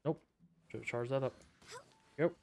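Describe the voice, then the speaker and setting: A man streams a game with casual live commentary in a mid-pitched voice. His delivery is deadpan and sarcastic, muttered in short offhand reactions, with faint game music behind him. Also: moderate pace, creaky.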